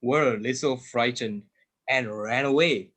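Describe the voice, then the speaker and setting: Young male narrator, mid-pitched, reading a scripted children's story. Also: a little slow, a bit bright. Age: teenager or young adult student. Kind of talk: scripted storytelling, readers theater.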